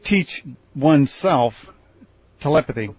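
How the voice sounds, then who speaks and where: A man with a husky voice casually interviewing as a show host, with short responses and questions. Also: fairly high pitch, animated, a little slow, dull audio.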